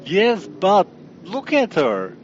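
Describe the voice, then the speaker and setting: A man's voice: high-pitched, huge pitch swings, takes it slowly, lo-fi sound, slight background noise, exaggerated voice. A man playing a desperate, loyal sworn companion in a fantasy audio drama, earnest and dramatic in delivery. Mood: earnest pleading.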